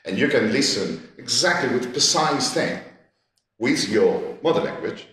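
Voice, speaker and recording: A man, speaking as a panellist in a discussion, halting and restarting, repeating words as he searches for them. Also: medium pitch, lively intonation, a bit bright. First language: Catalan. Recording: echoey gallery room, distant mic.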